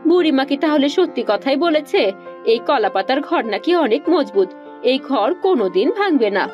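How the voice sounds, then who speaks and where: A woman voicing a gentle, forgiving, dutiful village wife in a cartoon, with a reasoning manner. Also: high voice, sing-song, normal speed, lo-fi sound, faint music behind, pitched above the performer's own voice. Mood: wondering. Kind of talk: cartoon dialogue acting.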